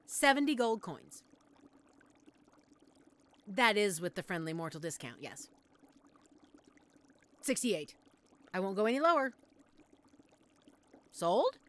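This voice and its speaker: Woman as an animated, flustered young witch. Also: medium pitch, a little slow, pauses often. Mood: eager, excited. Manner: theatrical, rambling, expressive. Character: chatty, flustered young witch-in-training running a magic shop. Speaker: audio roleplay performer. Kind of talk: scripted audio roleplay, addressed to the listener.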